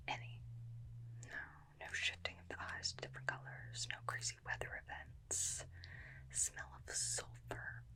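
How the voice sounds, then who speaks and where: A woman acts out a female vampire slayer in an ASMR roleplay, whispering close to the mic with a crackly, breathy edge. She is bossy and dryly teasing, yet protective, and her delivery stays intimate. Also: rumbling low, exaggerated voice. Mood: probing, suspicious. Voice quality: breathy whisper with creaky edges. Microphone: close binaural microphone.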